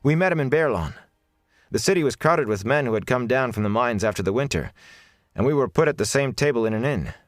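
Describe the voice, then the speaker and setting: A man voicing a stubborn young village woman in an audiobook, earnest and quick to explain. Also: mid-range voice, lively intonation, no room sound, clean studio sound, faint music behind, pitched above the performer's own voice, close to an everyday voice.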